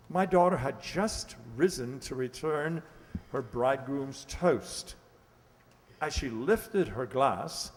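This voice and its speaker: A man's voice: mid-range voice, darkish tone, close to an everyday voice. An actor playing a flustered, hesitant middle-aged English lord and father in a radio play. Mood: earnest, storytelling. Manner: hesitant, fussy, stammering. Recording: echoey hall, long reverb.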